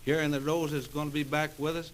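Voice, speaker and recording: An actor playing an easygoing, good-natured singing cowboy in an old-time radio western, with folksy talk. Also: male voice, on the high side, little pitch movement, fast, slight background noise, natural voice. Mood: cheerful.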